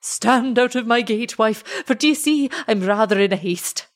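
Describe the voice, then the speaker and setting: A woman voicing an excitable, tender old shepherd in a story, with a Scottish accent and broad, exclamatory dialect speech. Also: a little high, unhurried, voice pushed up, lightly acted. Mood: hasty, bossy.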